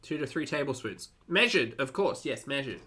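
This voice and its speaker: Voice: mid-range voice, expressive, moderate pace, sharp tone, slight background noise. A person giving casual reaction commentary as a video host, with an exasperated, sarcastic way of critiquing what they watch.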